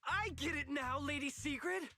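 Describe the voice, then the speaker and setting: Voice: high voice, normal speed, sharp tone. An actor playing an earnest, gullible subordinate patrol officer in a game, puzzled and exclamatory. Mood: eager realization.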